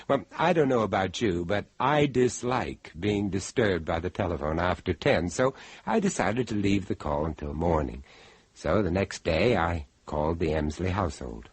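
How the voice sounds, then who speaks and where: An actor playing a genteel British art dealer who tells a dreadful tale in a radio horror play, polite, hesitant and dramatic. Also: male voice, sits low, husky, no room sound. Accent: British English.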